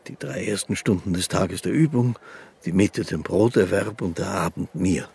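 An actor playing a poor, pious old street fiddler in a radio play, speaking in formal, hesitant, ceremonious phrases. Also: male voice, sits low, moderate pace, gravelly, darkish tone, breathy edge, exaggerated voice. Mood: dignified, devout. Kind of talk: German radio play dialogue.

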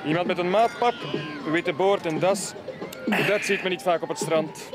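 An actor playing a polite, well-spoken young student waiter in a radio play. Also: male voice, high-pitched, normal speed, lightly acted. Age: young adult. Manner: polite, proper, mildly teasing. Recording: noisy outdoor ambience with crowd.

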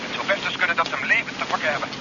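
Man playing a very fast-talking general, noisy line. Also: a little high, lightly acted. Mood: probing, steadying. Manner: clipped, insistent questioning. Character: brisk, commanding general at a radio station. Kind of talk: 1970s radio drama acting. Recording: dull, noisy radio-link sound, engine drone.

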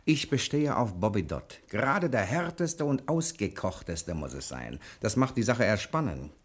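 An actor playing a cocky, quick-witted bank cashier in a 1960 radio crime drama, brisk, self-assured and commanding. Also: male voice, fairly low pitch, big pitch swings, slightly rough. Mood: confident, brisk.

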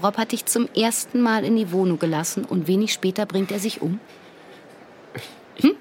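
An actress playing a sceptical adult investigator in a radio drama, questioning in a probing, insistent way. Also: female voice, mid-range voice, moderate pace, a little mellow, slight background noise, lightly acted. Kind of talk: naturalistic German radio drama acting.

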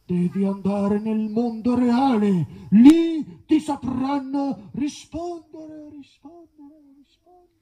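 Guy doing a super high pitched god, slow and solemn, drawn out words, comic live story. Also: dark tone, exaggerated voice, rough recording. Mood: solemn, advising. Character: solemn god Apollo advising the detective, high-voiced.

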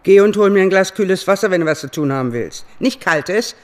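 A woman's voice: mid-range voice, animated, a little fast, darkish tone, lightly acted. An actress plays an elderly mistress of the house in a radio drama. She speaks in curt, snappish commands, imperious and impatient with those around her. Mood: snappish, commanding. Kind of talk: radio play acting.

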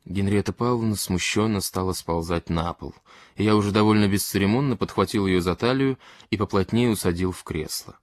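Man, lowish pitch, audiobook narrator, expressive literary storytelling, very dry sound. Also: a little fast, no echo.

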